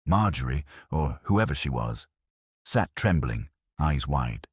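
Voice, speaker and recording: A man's voice: rumbling low, lively intonation, slow, slightly rough, no echo, clean studio sound. A man narrating a first-person detective story in a wry, hard-boiled, scripted manner. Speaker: audiobook narrator.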